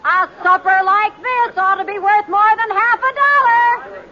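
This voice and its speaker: Over a murmuring crowd on a dull old radio recording comes a cheerful frontier lady auctioneer. Her American voice is very high, fluttery and chirpy, calling out in a singsong. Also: measured pace, sharp tone, exaggerated voice. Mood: cheerful, teasing. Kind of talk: old-time radio Western acting.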